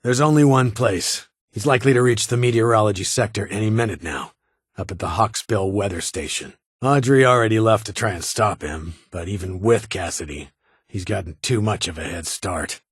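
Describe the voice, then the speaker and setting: A man playing a stern, guarded ghoul military officer in a video game, with a raspy voice and a clipped, formal delivery of dry authority. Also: fairly low pitch, normal speed, dry recording. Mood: urgent, alarmed. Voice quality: raspy, gravelly. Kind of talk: video game character dialogue.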